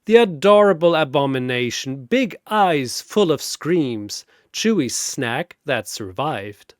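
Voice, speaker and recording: A man voicing a flamboyant, grandiose four-armed arena master of ceremonies in a fantasy audio show, announcing with drawn-out showman's emphasis. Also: a little high, very animated, no echo, clean studio sound. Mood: hyped announcing.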